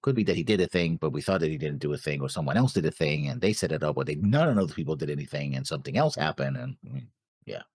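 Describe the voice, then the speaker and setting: A man's voice: rumbling low, expressive, fast talker, darkish tone, breathy edge, no room sound, studio quality. A man co-hosting a reaction show in casual chat, rambling and thinking aloud as he talks. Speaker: co-host of an anime reaction show.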